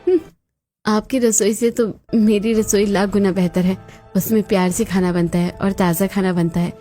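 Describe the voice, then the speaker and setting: A woman's voice: mid-range voice, steady tone, normal speed, mellow, faint music behind, lightly acted. Woman acting outspoken young daughter-in-law. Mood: defiant. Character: proud, outspoken young daughter-in-law who stands her ground. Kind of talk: Hindi cartoon moral-story voice acting.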